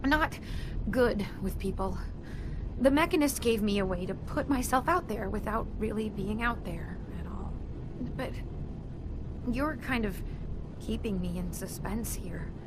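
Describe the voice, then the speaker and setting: A woman acting an earnest, socially awkward robot inventor in a video game, halting and defensive. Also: mid-range voice, expressive, natural voice. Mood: remorseful, pleading. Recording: clear background noise and ambience.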